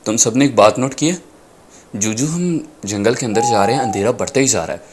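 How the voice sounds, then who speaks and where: A man's voice: medium pitch, a little fast, close to an everyday voice. A man playing an observant, uneasy young student in an audio drama.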